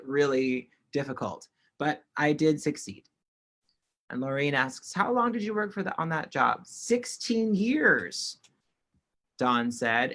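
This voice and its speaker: Bright-voiced male interpreter. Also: fairly high pitch. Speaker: sign language interpreter. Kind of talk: voicing signed panel remarks in first person.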